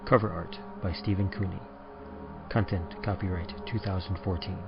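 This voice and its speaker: A man with a deep voice delivers a scripted podcast announcement. His sound is slightly dull, with music clearly playing behind him. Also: steady tone, normal speed. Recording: dull, muffled audio.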